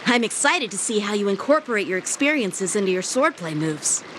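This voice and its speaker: A woman's voice: medium pitch, sing-song, fairly quick, close to an everyday voice. A woman playing an earnest sword instructor, noisy backdrop. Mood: encouraging. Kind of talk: anime game voice acting.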